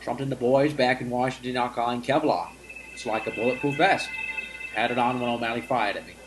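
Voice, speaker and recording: An actor playing a confident, brisk government agent in an old-time radio drama. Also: male voice, medium pitch, natural voice, poor audio. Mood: matter-of-fact. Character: confident, brisk federal agent praising a colleague. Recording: music bed behind dialogue.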